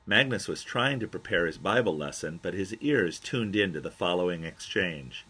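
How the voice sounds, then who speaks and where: A man narrates a memoir in a fairly low, husky voice with a bright, forceful edge. He reads as the author of the book, an expressive storyteller who gives quoted dialogue its own delivery. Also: normal speed.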